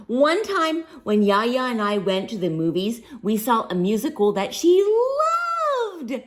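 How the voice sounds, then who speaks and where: A woman reading a children's story aloud with expressive, engaging narration, as if talking to young listeners. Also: a little high, slow. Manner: asks listeners questions, animated. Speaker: storybook reader.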